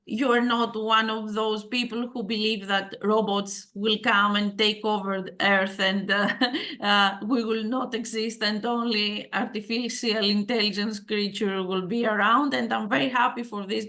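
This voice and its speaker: Middle-aged woman, Greek accent, slow and halting conference presenter, bright tone. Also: mid-range voice. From Greece. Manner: hesitant, many fillers, asks the audience questions. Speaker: school head of digital education giving a talk.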